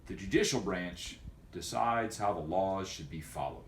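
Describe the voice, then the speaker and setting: A man speaking as a teacher and host of a homemade video for students, in a folksy, wordy, deliberate way. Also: low-pitched, roomy sound, slight background noise. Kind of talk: casual educational vlog for students, with read-aloud.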